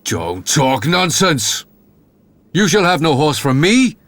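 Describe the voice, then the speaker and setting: A man's voice: fairly high pitch, very animated, a bit bright, no room sound, full character voice. A man playing a stern scolding father. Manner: slow, scolding, dismissive.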